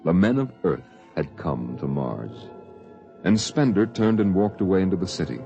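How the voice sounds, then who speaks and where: Deep-voiced, solemn radio narrator. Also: male voice, lively intonation, gravelly, dark tone. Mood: solemn, dramatic. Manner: measured, solemn. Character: solemn omniscient storyteller of a science-fiction tale. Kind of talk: dramatic scripted 1950s radio narration. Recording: dull vintage 1950s radio recording. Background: faint music.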